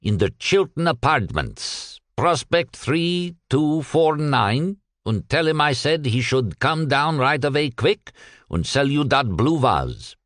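A man's voice: mid-range voice, very animated, slow pace, fairly bright tone, dry recording, clean studio sound, voice pushed up. A man voicing a fussy older shopkeeper who haggles in a syrupy way, in a storybook reading. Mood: wheedling.

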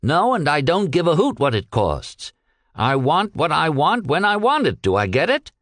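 A man voicing a desperate young ex-soldier who pleads and shouts on the phone in a storybook reading. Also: huge pitch swings, normal speed, no room sound, studio quality. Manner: shrill, pleading, exasperated.

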